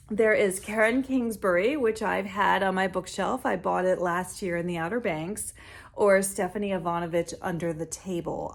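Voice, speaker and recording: A woman hosting a casual book vlog, chatting informally and rambling as she interrupts herself. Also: mid-range voice.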